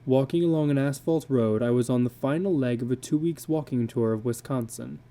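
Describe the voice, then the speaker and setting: A man narrating a literary story as a dramatic reading, with measured, deliberate phrasing. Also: medium pitch, mellow.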